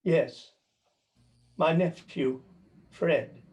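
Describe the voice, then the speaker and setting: A man's voice: a little high, drawn-out words, darkish tone. A man playing an old miser emotionally revisiting his past, exclaiming as he goes, in a radio play. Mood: wistful.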